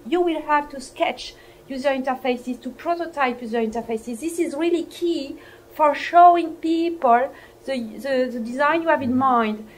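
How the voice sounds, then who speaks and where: High-voiced woman introducing a lecture. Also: low-quality recording. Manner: encouraging, repeats key points. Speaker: lecturer introducing a guest speaker. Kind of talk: spoken introduction to a university lecture. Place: lecture room. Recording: live lecture recording with background noise.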